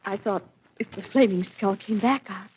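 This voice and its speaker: An actress playing a frightened, pleading married woman with a husky, breathy, tremulous delivery, in 1940s radio drama style. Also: medium pitch, moderate pace, slight background noise, close to an everyday voice. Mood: anxious. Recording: muffled 1940s radio recording.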